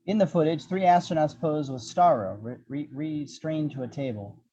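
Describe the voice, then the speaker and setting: A man's voice: fairly high pitch, moderate pace, dark tone, muffled recording. A man narrating the action descriptions of a screenplay at a table read, in a scripted storytelling style.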